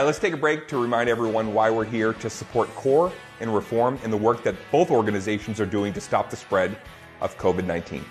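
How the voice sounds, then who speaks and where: A man hosting an online charity table read, making a casual fundraising appeal. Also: lowish voice. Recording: faint music behind.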